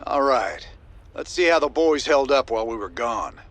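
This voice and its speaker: An actor playing a weary, tough rebel commander who speaks in a low, gritty drawl. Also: male voice, expressive, moderate pace, natural voice. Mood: resolute. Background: music score.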